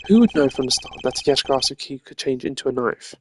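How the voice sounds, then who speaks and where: A woman voicing a proud, determined young male prosecutor in a video game, speaking in a measured, formal, accusing way. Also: extremely low pitch, expressive, a little hiss or hum, voice pushed down. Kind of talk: game character voice acting.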